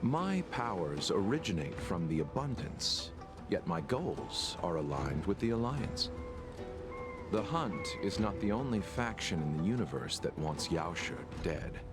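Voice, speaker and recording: An actor playing a polite, scheming male healer in a game, arguing his case calmly and persuasively. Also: sits low, a little slow. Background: game music.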